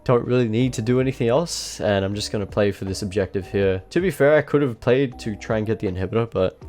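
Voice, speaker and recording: A man with a blocked nose and a slightly nasal voice, giving relaxed, self-mocking voice-over commentary on his own match. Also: fairly low pitch, quick pace. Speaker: gameplay commentator. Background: faint background music.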